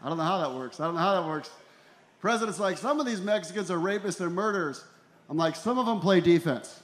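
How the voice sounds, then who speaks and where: A man in his forties doing a deadpan, self-deprecating stand-up routine into a handheld stage microphone. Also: sits high, quick pace. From Ecuador and El Salvador. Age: 40s. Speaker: stand-up comedian. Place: small comedy venue in a tent, on stage. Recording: roomy live comedy club sound.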